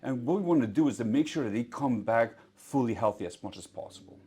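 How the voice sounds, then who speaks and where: A man's voice: mid-range voice, expressive, quick pace, dark tone, husky. A young man from Poland who grew up in Singapore, a doctor explaining earnestly in an interview, with some fillers. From Poland, raised in Singapore. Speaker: physician guest. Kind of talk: interview answers. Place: clinic in Warsaw.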